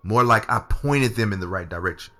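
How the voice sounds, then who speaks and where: Man acting as bright-voiced, low-pitched earnest lover. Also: brisk. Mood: urgent, frank. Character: Samson, earnest adult male lover confiding dangerous secrets. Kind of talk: audio drama dialogue. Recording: music under the voice.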